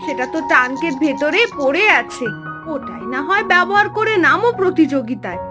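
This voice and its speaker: A woman's voice: high voice, animated, moderate pace, music in the background. A woman voicing an encouraging, homely village wife and mother in a cartoon, with a coaxing manner. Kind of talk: Bengali cartoon story acting.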